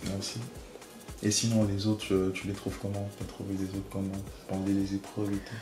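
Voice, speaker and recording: A man from Cameroon on a reality show, soft-spoken and flirty in casual conversation. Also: low voice, completely flat delivery, moderate pace. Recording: echoey room, music behind.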